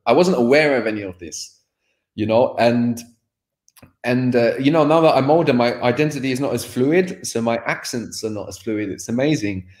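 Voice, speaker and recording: Man, 30s, candid interview guest on a video call, mid pitch, echoey room. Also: moderate pace, a little mellow. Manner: rambling, frank, laughs often, restarts. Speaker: guest, language course creator. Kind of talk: candid personal conversation. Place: home room by a sofa.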